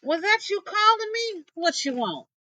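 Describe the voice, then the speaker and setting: A woman voicing a gentle, wary old male possum in an animated folktale, with folksy dialect phrasing. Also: very high-pitched, unhurried, no room sound, voice pushed up, exaggerated voice, rough recording. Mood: wary questioning. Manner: folksy Southern dialect grammar, indignant reasoning.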